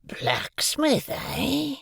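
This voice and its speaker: A man voicing a hungry, threatening escaped convict, speaking in slow, growling, half-whispered threats. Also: extremely high pitch, wildly swooping pitch, creaky, fairly bright tone, dry recording, studio quality, voice pushed up, exaggerated voice. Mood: menacing. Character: menacing ragged male convict, hungry and threatening.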